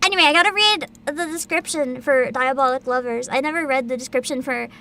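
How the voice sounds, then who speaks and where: A woman streamer in casual livestream chat, excitable and giggly, rambling with frequent laughter. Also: high-pitched, fairly quick. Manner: reads a plot description aloud with asides. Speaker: VTuber streamer.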